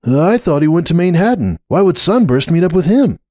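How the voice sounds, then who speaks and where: A man's voice: fairly high pitch, big pitch swings, fairly quick, dark tone, no room sound, clean studio sound, voice pushed up. A man voicing a cheeky, casual and curious young male dragon in a story reading. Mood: puzzled. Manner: breezy, inquisitive.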